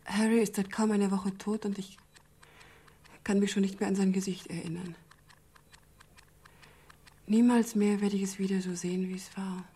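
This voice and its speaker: Actress as wistful, loyal young woman in mourning: medium pitch, soft-spoken, polite, radio crime drama, faint background noise. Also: flat delivery, normal speed, pauses often, lightly acted. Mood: grieving, wistful. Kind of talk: 1970s German radio crime drama acting.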